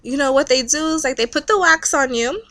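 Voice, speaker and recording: A frank girlfriend talks you through it in a plain, matter-of-fact way, as a woman quotes her. The voice sits a little higher than the speaker's own and stays bright and sharp-edged. She explains things calmly and without fuss. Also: a little fast. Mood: explaining. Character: frank female friend explaining waxing.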